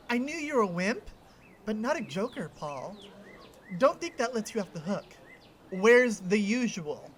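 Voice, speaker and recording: A woman's voice: medium pitch, very animated, takes it slowly, pauses often, slight background noise. A woman playing a menacing, mocking school bully in a fan visual novel, taunting and domineering. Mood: mocking, threatening.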